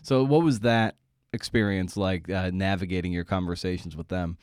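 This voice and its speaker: A man's voice: low voice, moderate pace, darkish tone, clean tone, no echo, clean studio sound. A man in his forties hosting a conversational interview podcast, rambling and self-deprecating in his storytelling. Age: 40s. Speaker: podcast host and writer. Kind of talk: conversational interview with personal anecdote.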